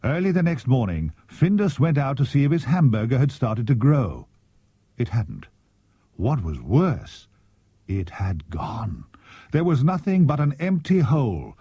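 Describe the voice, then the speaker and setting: A man's voice: medium pitch, big pitch swings, a little slow, dark tone, breathy edge, no echo. A man narrating a scripted children's story expressively as an audiobook reader. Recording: dull cassette tape transfer. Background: music.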